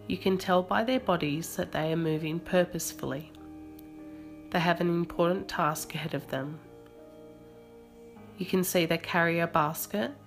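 Slow-paced woman narrating a guided meditation, lowish voice. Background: soft music.

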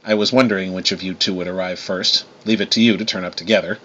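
A man's voice: low voice, fairly even intonation, fast talker, bright, forceful tone, lo-fi sound. A man voicing a gracious, outspoken woman musician who speaks in an articulate, emphatic way. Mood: weary.

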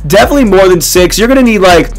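A young man in his twenties with a hoarse, nearly gone voice, casually commenting on a game to his viewers in a small dark room. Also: high-pitched, animated, rapid-fire, soft background music. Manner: laid-back, filler-heavy, addresses viewers as boys. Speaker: gaming YouTuber.

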